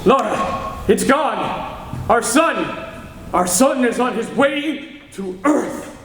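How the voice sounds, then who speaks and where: A man's voice: extremely high pitch, lively intonation, takes it slowly, heavily acted. A man playing a desperate, melodramatic scientist father on a doomed alien planet in a staged old-time radio serial. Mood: despairing. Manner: melodramatic, urgent exclamations. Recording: echoey noisy convention hall sound.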